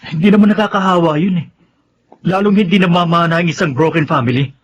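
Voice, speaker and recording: A man's voice: on the high side, fast talker, close to an everyday voice. An actor playing a devoted, pleading young man in love, earnest and melodramatic in a Filipino radio drama. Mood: ardent, pleading. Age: young adult. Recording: muffled audio with faint noise.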